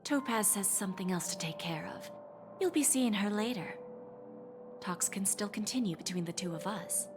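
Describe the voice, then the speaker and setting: A woman plays a senior corporate negotiator in a game, calculating and in full control. Her mid-pitched voice is composed and deliberate, with soft music behind it. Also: expressive, lightly acted. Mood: smooth, controlled. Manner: composed, deliberate, coolly assured. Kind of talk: video game voice acting.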